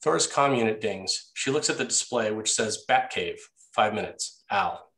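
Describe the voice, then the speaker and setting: A man's voice: lowish voice, animated, normal speed, fairly bright tone. A man narrating the scene directions of a screenplay at a table read. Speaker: narrator reading stage directions.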